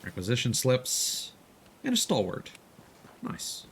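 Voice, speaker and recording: A man's voice: mid-range voice, huge pitch swings, slow, fairly bright tone, a little hiss or hum. A man doing live let's-play commentary, casually explaining the game as he plays. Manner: casual, instructive. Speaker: game streamer. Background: video game ambience.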